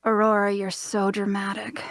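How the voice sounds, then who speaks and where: A woman playing a flippant, impatient tracker with a brisk, sardonic manner in a fantasy drama table read. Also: medium pitch, completely flat delivery, no echo, studio quality, close to an everyday voice. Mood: sardonic impatience. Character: blunt, flippant, impatient tracker of an adventuring party.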